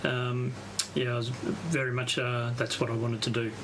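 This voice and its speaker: A man, an amateur radio operator giving a casual, halting, unscripted walkthrough on a live stream. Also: medium pitch, flat delivery, background noise. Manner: halting, unscripted, thinking aloud. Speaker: amateur radio operator presenting his station.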